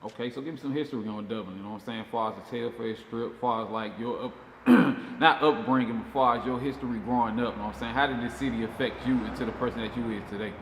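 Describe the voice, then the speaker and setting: Male interviewer, Southern accent, mid-pitched and brisk, informal slang, reverberant space with faint noise. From Georgia, USA. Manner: slangy, often repeats "you know what I'm saying". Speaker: show host, interviewer. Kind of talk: casual interview chat.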